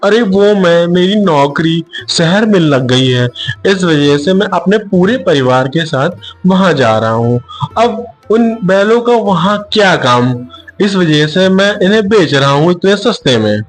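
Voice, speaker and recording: A man's voice: high voice, animated, measured pace, soft background music. A man voicing a greedy, cunning, glib villager in a children's cartoon story. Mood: glib excuse. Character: greedy, cunning male villager selling his old bulls.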